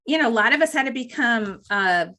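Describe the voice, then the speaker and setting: A woman speaking as a panelist in a virtual panel discussion, with a loud voice and a loose, thinking-aloud delivery full of fillers. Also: a little high, lively intonation, a little fast. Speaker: panelist, campaign director.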